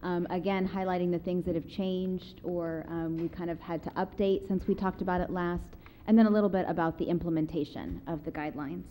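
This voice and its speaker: A woman's voice: on the low side, steady tone, brisk, few pauses, warm tone, a little hiss or hum. A woman on city planning staff presenting at a public meeting, careful and explanatory, with frequent fillers. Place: council chamber, seated at a table.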